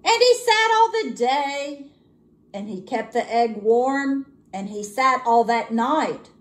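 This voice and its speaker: A woman narrates a children's picture book in a fairly high voice. She reads slowly and dramatically, with very lively intonation, like a teacher reading to young pupils. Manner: deliberate, dramatic phrasing. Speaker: elementary school teacher reading aloud. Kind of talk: expressive children's storybook narration.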